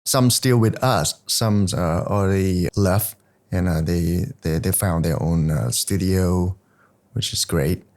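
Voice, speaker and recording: A man's voice: deep voice, slow pace, a little mellow. A middle-aged man, a type foundry's founding partner, talking as a podcast guest, hesitant with frequent repeats and fillers. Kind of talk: casual podcast conversation.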